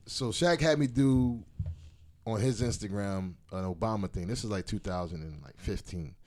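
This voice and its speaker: A man's voice: fairly low pitch, normal speed. A young man, a guest, telling stories in a casual chat. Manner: animated storyteller.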